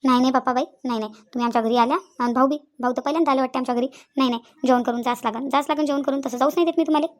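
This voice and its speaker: A woman's voice: high-pitched, steady tone, very fast, few pauses, natural voice. A woman playing insistent, talkative village women in a comedy cartoon, with a rural Vidarbha Maharashtrian accent and a habit of repeating phrases. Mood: insistent hospitality. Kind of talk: Marathi comedy cartoon dialogue.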